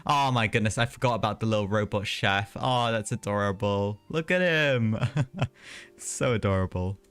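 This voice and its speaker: A man's voice: lowish voice, moderate pace, fairly bright tone, clean tone. A man livestreaming a video game, giving casual, chatty commentary with rambling, self-mocking asides. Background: game music.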